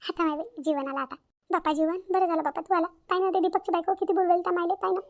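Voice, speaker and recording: Woman voicing a very high-pitched gossipy neighbour. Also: steady tone, quick pace. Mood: gossipy, disapproving. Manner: gossipy, exclaiming, repetitive. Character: gossipy neighbour woman commenting on a family quarrel. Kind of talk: cartoon family drama dialogue.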